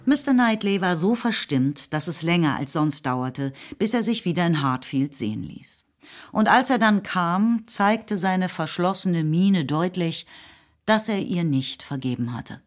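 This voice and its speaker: A woman narrating a literary radio play in a measured, articulate storytelling manner. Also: lowish voice, animated. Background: music.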